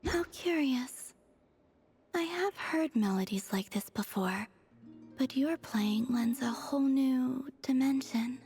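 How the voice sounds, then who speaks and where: A woman voicing a serene, polite young moon maiden in a video game, with a soft, airy voice and a gentle, measured, formal way of speaking. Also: high voice. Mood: musing. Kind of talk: scripted video game voice acting. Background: faint music.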